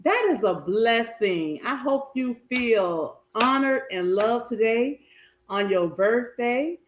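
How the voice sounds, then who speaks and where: A middle-aged woman talks at a slow, easy pace with a slightly raspy voice, chatting warmly to her viewers. Her voice is a touch high. She is recorded in an echoey home kitchen with a faint hum behind her. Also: expressive. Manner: chatty, warm greetings and blessings to viewers. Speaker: health coach and vlogger. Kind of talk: casual cooking vlog chat.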